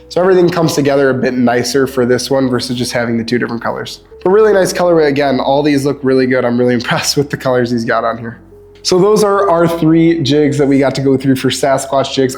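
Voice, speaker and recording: Stuffed-up man reviewing fishing jigs. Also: mid-range voice. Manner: relaxed, chatty, frequent ums and uhs. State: stuffed up, sniffly. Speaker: lure reviewer. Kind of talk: casual product review.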